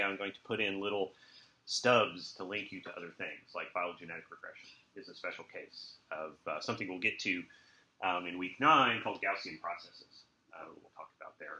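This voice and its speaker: A man's voice: lowish voice, bright, forceful tone. A man giving an informal university lecture, with wry, jokey asides. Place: lecture room with students present. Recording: roomy lecture recording.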